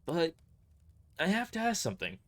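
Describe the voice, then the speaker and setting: A man's voice: on the high side, wildly swooping pitch, moderate pace, voice pushed up. A man voicing an earnest female soldier in an audio drama, speaking in a hesitant, polite and sincere way. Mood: curious.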